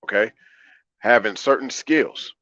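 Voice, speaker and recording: A man with a deep baritone voice talking calmly and conversationally as a livestream host, repeating phrases for emphasis. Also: a little slow. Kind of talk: conversational livestream monologue, answering chat. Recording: roomy, slightly dull lo-fi audio.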